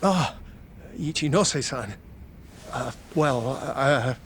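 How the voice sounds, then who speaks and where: A man's voice: medium pitch, animated, slow, a little hiss or hum. A man playing an anxious, stammering research scientist in a dramatic video game. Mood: startled, nervous. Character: nervous, flustered male research scientist.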